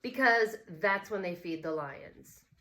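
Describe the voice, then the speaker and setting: Woman acting storybook schoolboy, whiny, stubborn, insistent, loud complaints. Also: lowish voice, slow. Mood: insistent accusing.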